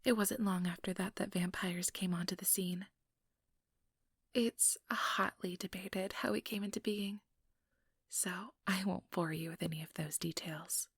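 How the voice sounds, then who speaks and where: A woman voicing an ambitious, manipulative female vampire in a breathy, measured whisper for an ASMR role-play, close to the microphone. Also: fairly low pitch, fairly even intonation, no room sound. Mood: measured storytelling. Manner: measured, condescending, persuasive.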